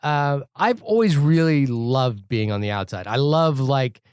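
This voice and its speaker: A man co-hosts a casual movie podcast, rambling through his thoughts with a pitch that leaps up whenever he starts a new point. His voice sits mid-range and is recorded close and dry. He loops back and restarts as he talks. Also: measured pace, clear, resonant tone, no echo. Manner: animated, rambling, voice jumps up when starting points. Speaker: podcast co-host. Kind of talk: casual film discussion. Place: New York.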